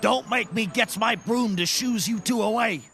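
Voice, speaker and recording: A blunt, irritable male fruit seller played by a comic actor scolds in a high, creaky voice. The tone is sharp, lively and streetwise, in comedy series style. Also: normal speed, a little hiss or hum, exaggerated voice. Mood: annoyed scolding. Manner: gruff, scolding, streetwise delivery. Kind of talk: scripted comedy acting.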